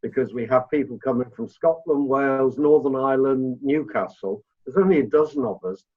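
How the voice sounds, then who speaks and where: A man's voice: medium pitch, fairly quick. A man co-hosting a conversational podcast, rambling through his own opinions before putting his question. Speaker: podcast co-host. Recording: dull, lo-fi connection.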